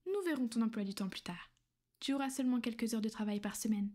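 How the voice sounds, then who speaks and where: Woman doing a whispery vampire lady, soothing and kinda possessive, slightly high voice, asmr vibe. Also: fast talker, natural voice. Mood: teasing. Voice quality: soft, half-whispered and intimate. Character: gentle, reassuring female vampire mistress. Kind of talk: ASMR roleplay.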